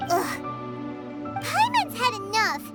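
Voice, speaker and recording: A woman voicing a tiny, excitable, curious childlike companion in a video game, squeaky and exclaiming. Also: slow pace, exaggerated voice. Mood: puzzled. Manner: speaks of herself in third person, exclaiming. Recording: loud music behind the voice.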